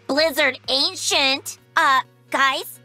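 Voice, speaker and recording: A sassy young gamer girl, very high. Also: expressive, very slow, pushed and bright, soft background music, full character voice. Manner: sassy, teasing, bubbly. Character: cocky young female gamer mech pilot. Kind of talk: game voice lines.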